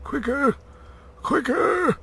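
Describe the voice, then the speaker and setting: A man voicing a commanding old wizard in a storybook, emphatic, urgent and brisk. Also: extremely high pitch, creaky, a bit bright, pitched above the performer's own voice, heavily acted. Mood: fierce, urgent. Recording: noticeable background noise.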